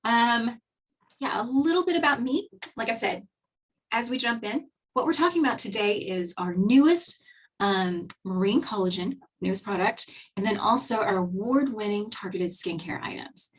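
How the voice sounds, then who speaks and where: A husky-voiced Texan woman in her fifties chats through a relaxed online presentation, upbeat and full of fillers and restarts. Her voice sits a little away from the microphone, as on a video call. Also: fairly high pitch, moderate pace, recorded from a distance, rough recording. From Texas, USA. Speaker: webinar host and skincare presenter. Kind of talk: casual webinar presentation.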